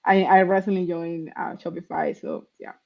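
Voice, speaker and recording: A woman's voice: lowish voice, little pitch movement, creaky. Woman on a dull call line. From Colombia. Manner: earnest, conversational, some halting restarts. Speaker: guest software engineer. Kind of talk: interview answers.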